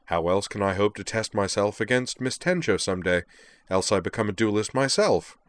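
A man voicing a courteous, proud lizard-like alien swordsman in a narrated story, speaking with formal, flowery politeness and calm, cutting wit. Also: lowish voice, normal speed, voice pushed up, close to an everyday voice. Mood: admiring, defiant. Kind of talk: acted dialogue in a narrated story.

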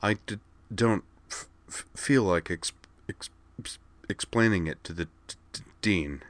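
A man plays an angry young woman student in a story reading. She stammers hard on her consonants, halting and clipped, stubborn and tight, in a dry recording. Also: lowish voice, no room sound, close to an everyday voice. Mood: guarded, stubborn.

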